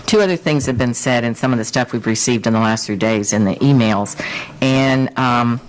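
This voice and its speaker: A man's voice: medium pitch, moderate pace, low-quality recording. A man on a city commission, explaining and clarifying points for the record at a public meeting. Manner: explanatory, setting the record straight.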